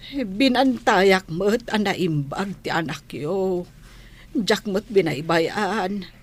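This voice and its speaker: A woman's voice: medium pitch, lively intonation, takes it slowly. A woman acting a hesitant, deferential and loyal housemaid in a scripted audio drama, with music behind her. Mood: plaintive.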